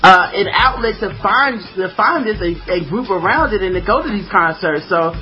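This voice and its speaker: A man hosting a podcast who says he has a high-pitched voice, joking around and swearing casually as he reads listener questions. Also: lively intonation, moderate pace, highs cut off, soft background music. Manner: joking, profane, easily amused. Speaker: podcast host. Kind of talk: casual chat.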